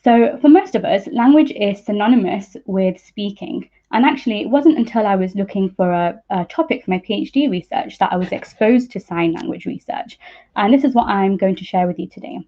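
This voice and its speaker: Thin, phone-like online call audio carries a young woman. Her pitch sits in the middle for a woman. She explains science informally over slides, as a student presenter who hesitates a little as she goes. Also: fairly quick, mellow. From East Midlands, England. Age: young adult. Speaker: PhD student speaker. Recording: muffled narrow-band online call audio.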